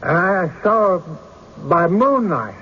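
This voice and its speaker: A man playing an evasive, defensive rustic witness in a radio courtroom drama, with a folksy, halting, stammering delivery. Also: high voice, sing-song, slightly rough, full character voice. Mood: cornered, flustered. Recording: dull, noisy old radio recording.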